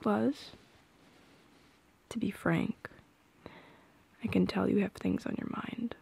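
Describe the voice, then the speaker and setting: Soft, slow-talking young woman, ASMR roleplay. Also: mid-range voice, vocal fry, husky. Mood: gentle, confiding. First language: Polish. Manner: soft-spoken, hesitant, often dropping into whispers. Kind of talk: intimate ASMR roleplay acting. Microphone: close microphone.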